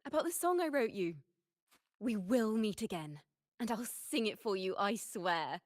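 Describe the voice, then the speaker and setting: A woman's voice: mid-range voice, lively intonation, normal speed, no echo, close to an everyday voice. A woman acting an earnest, devoted young adventurer in a video game, speaking expressively. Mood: tender promise. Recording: voice over game music.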